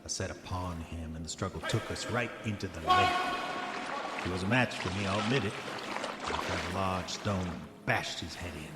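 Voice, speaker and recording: A man's voice: deep voice, normal speed, lightly acted. An actor playing a haunted, hot-tempered prospector confessing in his diary, with formal old-fashioned, brooding delivery in a horror audio drama. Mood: grim, self-justifying. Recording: echoey voice with long reverb, music behind. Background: music.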